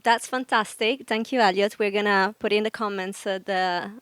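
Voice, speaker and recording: A woman's voice: medium pitch, fairly even intonation, moderate pace, a bit bright, clear, resonant tone, dry recording. A woman hosting a live talk event, wrapping up with thanks to guests and helpers. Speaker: host, festival director.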